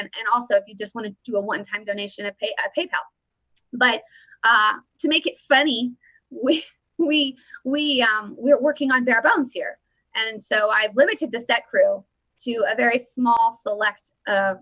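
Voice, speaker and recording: A woman's voice: medium pitch, bright, forceful tone, no echo, low-quality recording. A woman in her thirties hosting a casual political commentary show on a webcam, rambling with many restarts. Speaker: host.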